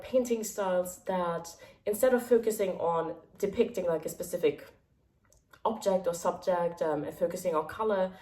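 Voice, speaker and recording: A young woman talking casually to camera as a vlogger, her voice hoarse because she is a little sick, chatty and full of "like" fillers. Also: lowish voice, mellow. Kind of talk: casual vlog talk to camera.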